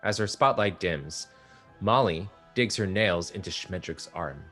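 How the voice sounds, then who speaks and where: A man reading stage directions aloud in a play table read, measured and even. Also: low voice, soft background music. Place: live reading at an art exhibition.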